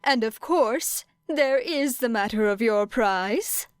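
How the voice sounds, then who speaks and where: A woman's voice: fairly high pitch, animated, slow, clean tone, no room sound, clean studio sound. A woman voicing a courteous, shrewd, upbeat animal school principal in an audiobook, with polite, formal phrasing. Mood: businesslike, cheerful. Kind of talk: acted audiobook dialogue.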